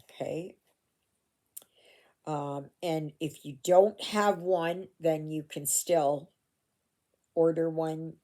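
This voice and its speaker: A woman's voice: deep voice, fairly even intonation, very slow, pauses often, dark tone. An older woman from Canada chatting casually while she stitches, rambling with asides and fillers, recorded at home on a phone. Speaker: cross-stitch vlogger. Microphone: phone camera.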